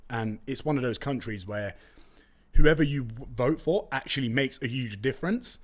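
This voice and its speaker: A man's voice: mid-range voice, normal speed. Chatty young London man, slangy podcast host. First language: Turkish. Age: young adult. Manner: chatty, slangy, animated storytelling. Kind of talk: casual solo podcast chat. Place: room with brick walls, indoors. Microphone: close studio condenser mic with pop filter.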